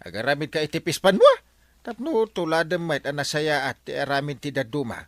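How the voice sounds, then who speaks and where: An actor playing an excitable, scolding middle-aged village captain with an Ilocano accent in a radio comedy drama. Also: male voice, on the high side, brisk, dry recording. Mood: lecturing.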